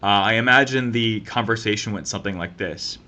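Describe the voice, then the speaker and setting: A man's voice: fairly low pitch, pushed and bright. A man giving an opinionated, sarcastic political commentary rant. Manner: sarcastic, fast-talking.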